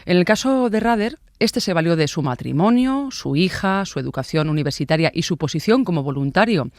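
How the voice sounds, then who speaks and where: Casting: Spanish man, podcast host, slightly high voice, dry studio sound, chatty scripted narration. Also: expressive, brisk, no echo. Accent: Spain Spanish. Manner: conversational, with rhetorical asides. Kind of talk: scripted true-crime narration.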